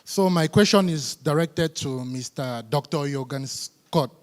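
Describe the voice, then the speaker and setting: Higher-voiced Cameroonian man in a hall. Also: measured pace. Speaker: student questioner in audience. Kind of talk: formal prepared question. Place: large conference hall, public address. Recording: reverberant conference hall.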